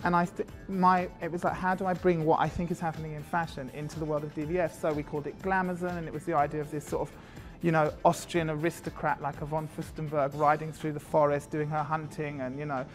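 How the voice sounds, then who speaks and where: A man's voice: a little high, fast talker, hardly any pauses, soft background music. A man, a fashion creative director, talking casually and at length with many fillers. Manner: chatty, lots of fillers, animated when describing designs. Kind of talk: casual interview talk.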